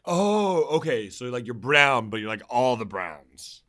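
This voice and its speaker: A man playing a brash, over-eager young male podcast host, loud and pitched up. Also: big pitch swings, a little slow. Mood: hyped.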